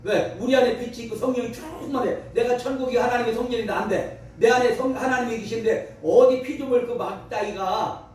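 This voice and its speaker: A male revival preacher speaks in a high voice with emphatic, exhorting delivery. The sound is heavily echoing and picked up from a distance, with faint noise behind him. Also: fairly quick, a little mellow. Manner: emphatic, exhorting, with rhetorical questions. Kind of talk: fervent revival sermon and prayer. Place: large echoey room at a revival meeting.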